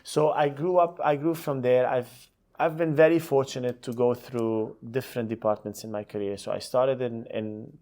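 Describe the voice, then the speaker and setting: A man's voice: medium pitch, steady tone, normal speed, a little mellow. A middle-aged man, telling his career story conversationally as an interview guest. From Lebanon. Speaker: podcast guest, hotel executive.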